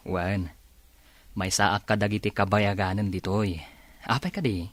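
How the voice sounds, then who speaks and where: A man's voice: fairly low pitch, little pitch movement, moderate pace, a bit bright, no echo. A man with an Ilocano accent acting a devoted, patient young fiance and son in a radio drama. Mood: friendly, steady.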